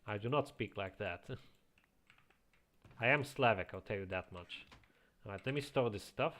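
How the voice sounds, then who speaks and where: A man's voice: fairly low pitch, slow pace, long pauses. Man with a slight Eastern European accent. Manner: reads chat aloud, chuckles often, relaxed. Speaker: streamer. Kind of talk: casual live-stream chat while playing. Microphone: quiet home microphone.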